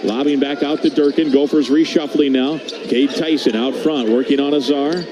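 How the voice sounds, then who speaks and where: A man's voice: mid-range voice, fairly even intonation, moderate pace, background noise. A man giving live radio-style basketball play-by-play as the commentator, in clipped running descriptions that lift on big plays. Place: basketball arena, courtside.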